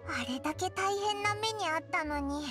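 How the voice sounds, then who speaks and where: アニメ調ゲームで、小さな子どものような妖精の相棒を演じる女性。幼くキンキンした声で、遠慮のない少年っぽい口調で話す。. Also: female voice, normal speed, over music. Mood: concerned. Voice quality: squeaky, childlike. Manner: blunt, boyish phrasing. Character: tiny childlike floating fairy companion, blunt, cheeky, caring. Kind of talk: Japanese anime game voice acting.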